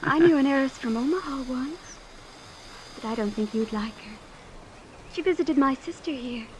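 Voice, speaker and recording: Actress as high-pitched, girlish spoiled heiress. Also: normal speed, close to an everyday voice. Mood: airy, dreamy. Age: young adult. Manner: girlish, pouty, airy. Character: naive, spoiled young heiress, flighty, chillingly blithe. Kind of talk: old-time radio drama acting. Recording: noisy vintage radio broadcast recording.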